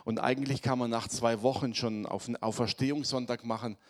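A man preaching to his congregation, hoarse from a cold, speaking in a conversational way and often checking in with his listeners. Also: medium pitch, steady tone, brisk. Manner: conversational, addresses congregation with tag questions. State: has a cold, voice affected. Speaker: pastor. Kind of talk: sermon.

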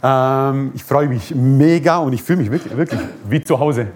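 A man's voice: medium pitch, normal speed, darkish tone. A man from Croatia, preaching a fervent, repetitive sermon and prayer with joking asides.

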